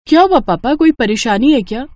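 Woman voicing a high-pitched, curious young bride. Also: animated, fairly quick, a little mellow. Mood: concerned. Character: polite, curious young daughter-in-law in a village.

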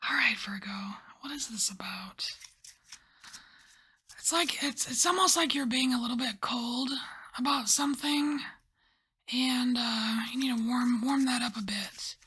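A woman softly whispering and musing as she lays out and reads tarot cards in an ASMR style. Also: a little high, breathy edge. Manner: soft whispering, musing and unhurried. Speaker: tarot reader.

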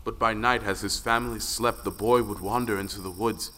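A man's voice: fairly low pitch, fairly even intonation, fairly quick, sharp tone. A man narrating a folktale audio play as a dramatic storyteller. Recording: long reverb, music underneath.

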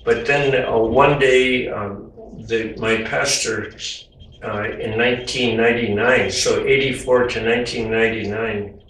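Older guy slowly explaining his art, lots of uhs and restarts, sounds far off in a really echoey room. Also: medium pitch, steady tone. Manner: gentle, halting, many fillers and restarts. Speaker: artist. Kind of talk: informal talk about his artwork. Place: home room with windows, indoors.

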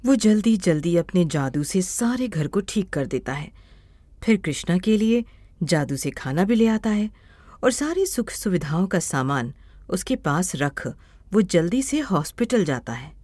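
Lively woman narrating a story. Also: fairly low pitch, a little slow, no room sound.

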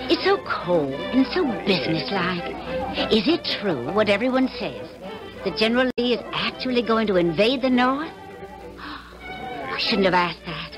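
Poised, theatrical period actress. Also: female voice, medium pitch, very animated, a little slow. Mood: charming, coquettish. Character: bold, romantic, shrewd Confederate-era stage actress. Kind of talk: 1970s radio drama acting. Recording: vintage radio drama with music.

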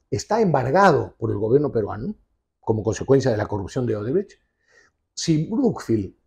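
A man in his sixties delivers forceful opinion commentary. His voice is dark, gravelly and husky. He talks with emphatic insistence, recorded close on a lapel mic in an office. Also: fairly high pitch. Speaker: TV show host and commentator. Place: indoor office with leather sofa.

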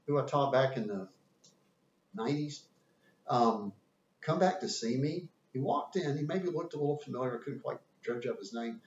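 Southern man lecturing with lively pitch. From American South. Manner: animated, folksy, gesturing with hands. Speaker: teacher. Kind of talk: classroom lecture. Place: indoor room with whiteboard. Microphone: camera at a distance. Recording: roomy, distant, dull webcam sound.

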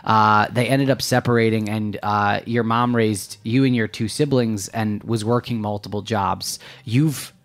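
Low-voiced man asking rambling questions. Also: fairly even intonation, a little slow, hardly any pauses. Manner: curious, rambling questions with restarts. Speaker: podcast host. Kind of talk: interview questions.

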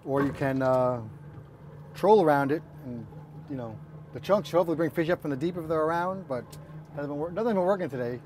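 A man's voice: fairly high pitch, fairly quick, darkish tone, slight background noise. A middle-aged man chatting casually to camera on a fishing boat at sea, with a joking, teasing manner. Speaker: fishing vlog co-host. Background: music.

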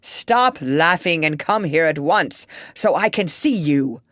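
A woman's voice: low voice, lively intonation, slow, fairly bright tone, no room sound, studio quality, exaggerated voice. Blustering storybook king voiced by a woman. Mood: indignant. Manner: imperious, demanding. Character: blustering, indignant, gullible male king. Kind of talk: children's storybook character reading.

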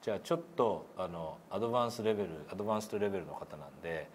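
A male pronunciation coach with a widely moving pitch takes a learner through sounds one step at a time. He gives frequent approval, and some background noise sits behind his voice in the meeting room. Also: expressive, rapid-fire. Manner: encouraging, frequent approving feedback. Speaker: English pronunciation instructor.

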